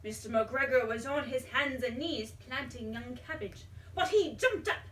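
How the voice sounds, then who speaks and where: A woman reading a children's storybook aloud in an expressive, dramatic way, pausing to put questions to a young child. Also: sits high. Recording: roomy, distant mic, faint background noise.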